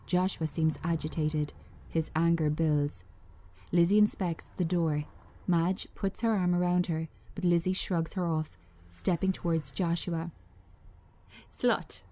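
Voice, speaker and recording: Slow, mellow low-voiced woman narrator. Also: flat delivery. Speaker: script reader, narrator. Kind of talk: scripted screenplay narration.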